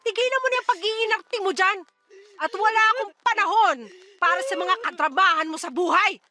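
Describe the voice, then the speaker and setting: A woman's voice: very high voice, fairly quick, sharp tone. A woman playing a harsh, defensive middle-aged mother in a radio drama, scolding and dismissive. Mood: scornful, scolding. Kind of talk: Tagalog radio drama acting. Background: music.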